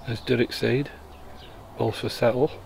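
A man's voice: medium pitch, fairly even intonation, a little slow, creaky. A man giving casual commentary on a bowls match, explaining each shot in a chatty way, recorded outdoors on a camera mic. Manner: chatty, explaining the play as it happens. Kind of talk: casual amateur sports commentary. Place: outdoors at a bowling green.

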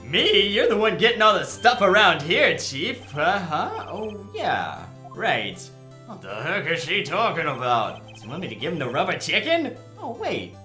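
A man's voice: high voice, wildly swooping pitch, unhurried, bright, forceful tone, full character voice. A man playing a cocky, wisecracking young defense lawyer, sarcastic and boastful, in a visual novel fan dub. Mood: coaxing, dry. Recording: roomy sound, music behind. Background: game music.

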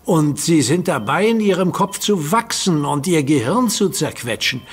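An actor playing a calm, firm hero who talks patiently and commands in a radio play. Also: male voice, fairly high pitch, moderate pace, husky. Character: calm heroic young man, firm leader.

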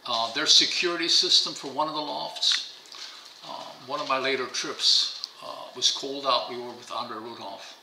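A man's voice: mid-range voice, normal speed, sharp tone, reverberant, a little hiss or hum, rough recording. An older man whose first language is German, telling stories in a casual interview at a dining table at home, rambling and repeating words. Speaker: interviewee, pigeon fancier. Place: bright home dining room, indoors.

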